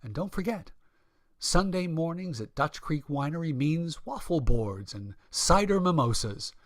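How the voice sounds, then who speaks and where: A man's voice: a little high, animated, unhurried, dry recording, studio quality. A man working as an announcer, reading scripted sponsor copy for a radio broadcast.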